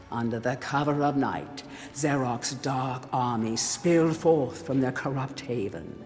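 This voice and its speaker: An actor playing a grave chronicler of an old fantasy kingdom, narrating a game's storybook history in a solemn, theatrical way. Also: male voice, fairly high pitch, darkish tone, close to an everyday voice. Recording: long hall reverb, music underneath. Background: music.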